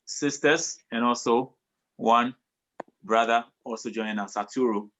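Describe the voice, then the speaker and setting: A man's voice: mid-range voice, unhurried, a bit bright, rough recording. A man hosting a casual online talk show over a video call, upbeat and laughing often. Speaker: host.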